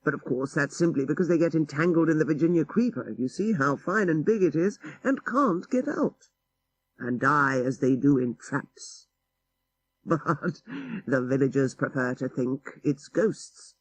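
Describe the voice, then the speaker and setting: A man's voice: a little high, a little slow, slightly rough, no room sound, poor audio. A man voicing an earnest young country-house owner in an audiobook story, well-mannered, explanatory and sceptical. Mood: dismissive, amused.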